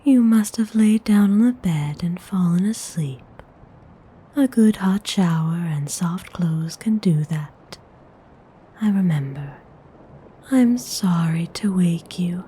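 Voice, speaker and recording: A woman playing a courteous, commanding vampire noblewoman in an ASMR roleplay, speaking in a soft, mostly whispered voice with genteel, formal phrasing. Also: mid-range voice, expressive, husky, full character voice. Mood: gentle coaxing. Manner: genteel, unhurried, formal wording.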